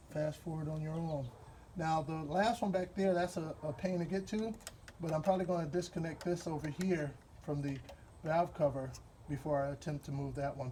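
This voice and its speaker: A man from Wisconsin with a Midwestern accent, explaining a car repair step by step in a relaxed, rambling way while he works. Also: on the high side, slight background noise. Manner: relaxed, rambling, with fillers and pauses while working. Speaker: DIY car repair host. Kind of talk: hands-on repair walkthrough.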